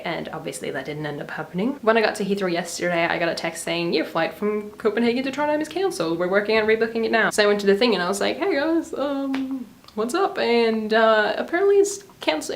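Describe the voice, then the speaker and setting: A young woman from Norway chatting casually to a handheld camera, telling a story with frequent giggles. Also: mid-range voice. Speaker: vlogger. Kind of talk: casual vlog chat to camera. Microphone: handheld camera at arm's length.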